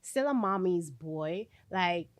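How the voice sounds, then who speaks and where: A woman's voice: fairly low pitch, animated, slow. A woman of forty, a podcast guest in casual chat, firm and matter-of-fact, with many fillers. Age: 40s.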